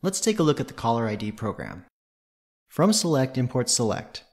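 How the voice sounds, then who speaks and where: A man's voice: mid-range voice, sing-song, normal speed, darkish tone. A man presenting a tutorial, reading scripted technical narration in a methodical, matter-of-fact way.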